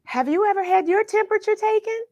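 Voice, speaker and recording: A woman telling a children's picture story in an expressive way, pausing for chatty questions to the young listener. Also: extremely high pitch, no echo, lo-fi sound. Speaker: children's read-along storyteller and host. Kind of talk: animated picture-book read-aloud with questions to young listeners.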